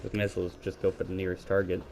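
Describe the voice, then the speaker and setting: A man's voice: deep voice, monotone, fast, darkish tone. A man with a scratchy voice giving rambling play-by-play commentary while gaming, talking into a headset. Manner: rambling, self-correcting play-by-play. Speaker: gaming video creator.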